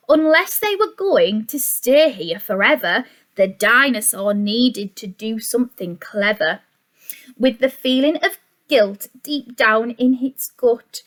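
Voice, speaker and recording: Female storyteller for young children: high pitch, unhurried delivery, expressive and sing-song, teacherly asides. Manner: expressive, deliberate, sing-song, with gasps and questions to listeners. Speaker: teacher reading a picture book to young children. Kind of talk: animated children's story reading with chatty asides.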